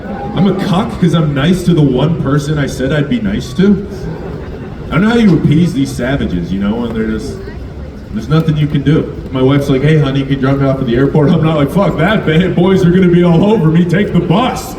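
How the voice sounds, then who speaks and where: A man in his thirties from Alberta doing a self-mocking stand-up set, with a deep voice. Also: brisk, distant mic, noisy background. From Canada, Alberta. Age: 30s. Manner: self-mocking, riffing with the crowd. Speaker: stand-up comedian. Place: comedy club stage. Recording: roomy live club audio from afar.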